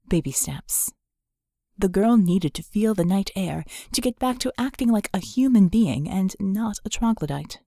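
A woman's voice: medium pitch, mellow, no room sound, studio quality. A woman reading scripted fiction as an audiobook narrator.

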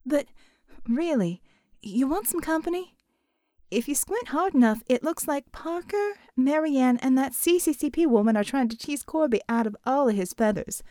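A woman voicing a quick-witted barmaid with a drawl, dry and flirty. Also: sits high, lively intonation, mellow, dry recording, pitched above the performer's own voice. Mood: teasing.

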